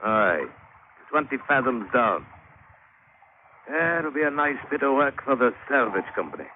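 A man's voice: medium pitch, very animated, measured pace, narrow, tinny audio, slight background noise. An actor playing an old English seaman with a British accent, telling his haunted adventure in colloquial sailor talk in a 1940s radio drama. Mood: curious, wary. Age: older. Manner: colloquial storytelling with sailor slang.

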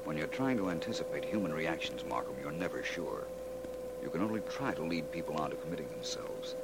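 An actor playing a composed, analytical detective in a 1940s radio drama, with measured, assured delivery. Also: male voice, extremely low pitch, sing-song, full character voice. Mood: musing. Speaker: lead actor in a detective series. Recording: noisy old radio recording with hum.

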